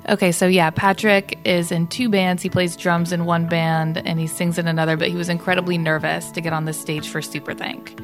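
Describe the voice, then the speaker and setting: A woman volunteer describing her friend, steady and supportive. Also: fairly low pitch, fairly quick, hardly any pauses, soft background music. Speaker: volunteer co-host.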